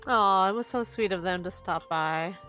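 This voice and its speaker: A woman's voice: mid-range voice, moderate pace, a bit bright, faint music behind. A woman streaming a video game, giving casual, chatty and playful live commentary. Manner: playful, chatty, reacts aloud.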